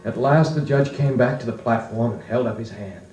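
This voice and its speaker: Mellow, reflective American male narrator played by an actor. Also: mid-range voice, normal speed, natural voice. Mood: nostalgic. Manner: reflective, sincere storytelling. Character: grown man wistfully recalling his farm boyhood. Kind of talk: 1940s radio drama narration. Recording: dull, echoey 1940s record with music.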